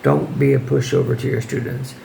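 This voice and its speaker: A hoarse man with a deeply gravelly, fry-heavy voice talks through classroom tips in a loose vlog style. He sounds firm and direct but informal, and his voice sounds strained and worn as it fades. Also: fairly even intonation, fairly quick, dark tone, slight background noise, rough recording. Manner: informal, firm, checks in with "okay?". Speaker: English teacher. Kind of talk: casual advice vlog.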